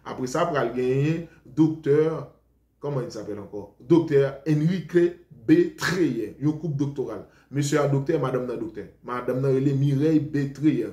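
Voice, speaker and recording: A man's voice: medium pitch, normal speed, warm tone. A Haitian man preaching informally to his followers, rambling and defensive, often repeating himself. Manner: rambling, defensive, switches between Creole and French. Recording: roomy echo.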